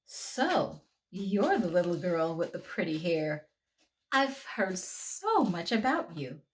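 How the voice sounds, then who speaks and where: A woman voicing a friendly mother greeting a child in a children's storybook, warm and gentle. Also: fairly low pitch, very animated, takes it slowly, poor audio.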